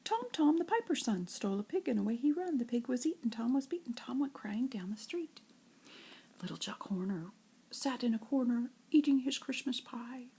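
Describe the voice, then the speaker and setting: An older woman with a breathy, husky voice reads nursery rhymes like a grandmother, in an expressive singsong storytelling way. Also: fairly high pitch, normal speed, rough recording. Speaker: grandmother reading to children.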